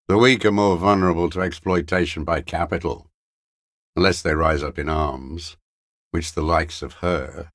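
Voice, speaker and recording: An actor playing a bitter, paranoid old communist deserter in a video game, with a weary, gravelly voice and a halting, brooding, contemptuous mutter. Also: male voice, a bass voice, no room sound, studio quality, heavily acted. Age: older. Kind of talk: video game character voice acting.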